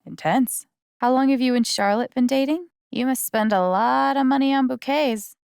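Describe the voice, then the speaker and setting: A woman's voice: sits high, moderate pace, creaky, a little mellow, clear, resonant tone, no echo, studio quality. A woman voicing a friendly, wry young newcomer in a video game, talking in a casual, naturalistic, dryly joking way. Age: young adult.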